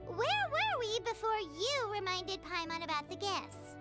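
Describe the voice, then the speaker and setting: High squeaky fairy girl, chirpy, sing-song, third person talk, game music behind. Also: sing-song, a little slow, sharp tone, heavily acted. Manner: refers to herself in third person. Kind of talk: video game dialogue.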